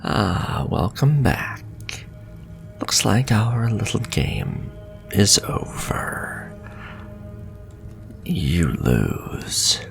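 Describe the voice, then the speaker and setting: A man's voice: fairly low pitch, sing-song, faint music behind, heavily acted. A man playing a sly, sadistic, flirtatious warlock in an ASMR fantasy roleplay, murmuring breathily and taunting his listener. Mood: gloating, taunting. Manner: slow, taunting, breathy murmur with soft chuckles. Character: sly, sadistic, flirtatious male warlock striking a cruel bargain.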